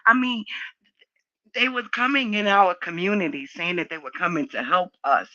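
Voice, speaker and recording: A middle-aged or older woman with a strong, low-set voice talks emphatically and forthrightly, repeating herself to drive points home. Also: normal speed, pushed and bright, muffled recording. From New York, United States. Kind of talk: impassioned conversational opinion talk.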